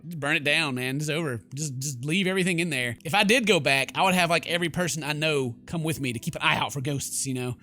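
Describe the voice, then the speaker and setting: A man's voice: a little high, fast talker, hardly any pauses, sharp tone, faint music behind. A man giving casual, sarcastic, joking commentary as he plays a game. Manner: playful, sarcastic, exclamatory. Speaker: gaming YouTuber, let's player.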